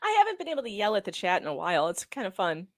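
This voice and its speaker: A woman's voice: mid-range voice, huge pitch swings, racing, no echo, studio quality. A woman co-hosting a livestream as a guest, chatting casually with short, playful, giggly reactions. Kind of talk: casual chat.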